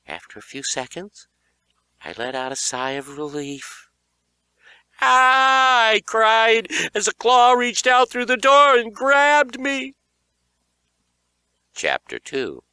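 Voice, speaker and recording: Guy narrating a kids horror audiobook, super expressive, smooth low voice, really dry recording. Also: slow pace, no room sound. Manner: animated, expressive delivery. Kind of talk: dramatic scripted storytelling for young horror fiction.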